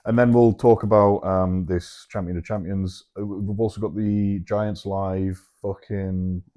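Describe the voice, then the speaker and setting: A man co-hosting a casual podcast, full of swearing and jokes. His voice sits low with a warm, dark tone. He talks easily, bantering with his mate. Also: little pitch movement, a little slow. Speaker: podcast co-host.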